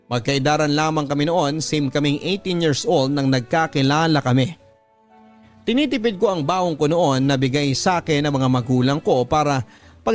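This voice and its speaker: A Filipino man narrates in the manner of a radio drama host reading out a letter. His voice sits a little higher than most men's. The delivery is scripted storytelling, with music playing softly behind him. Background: background music.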